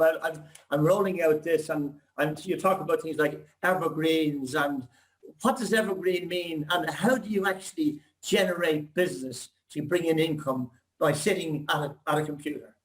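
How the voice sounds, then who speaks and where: An older man on a video call, rambling warmly before asking his question. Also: on the high side, moderate pace, poor audio. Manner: rambling, complimentary, reminiscing. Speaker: audience member asking a question.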